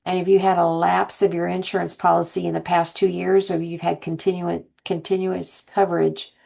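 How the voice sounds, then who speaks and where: A woman with a fairly low voice and very heavy vocal fry speaks as a counselor giving an informal lesson. Her intonation stays flat while she rambles through explanations and hypothetical examples. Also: normal speed. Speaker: housing counselor presenting.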